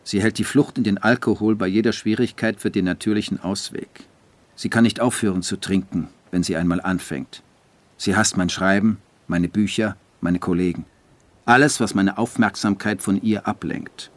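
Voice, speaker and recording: An actor playing a disillusioned writer who narrates his life in a radio play, in a measured, literary way. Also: fairly low pitch, steady tone, no room sound, natural voice. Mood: bitter, resigned.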